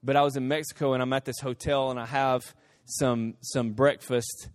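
A middle-aged man preaching a sermon, telling stories in an animated way, rising to emphatic peaks and dropping to hushed asides. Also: medium pitch, moderate pace. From Central America. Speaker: pastor.

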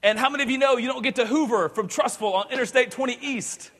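A pastor in his thirties preaches with a high, bright, forceful voice. He jokes, stammers and repeats words as he builds energy. The sound rings with long reverb from a large hall. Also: fast. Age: 30s. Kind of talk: energetic sermon.

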